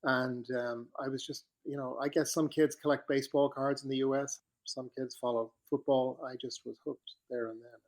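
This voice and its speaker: Man, fifties, Irish accent, podcast guest, reflective, pauses and restarts, average pitch. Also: level tone, poor audio. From Ireland. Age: 50s. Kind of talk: relaxed conversational storytelling.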